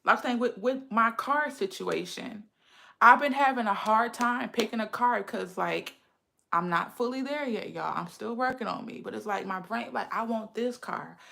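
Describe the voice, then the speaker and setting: Chatty woman with a gravelly lisp. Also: fairly high pitch, moderate pace, sharp tone. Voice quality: says she has a lisp. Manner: chatty, talks straight to her viewers. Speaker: livestream host. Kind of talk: casual livestream chat with viewers.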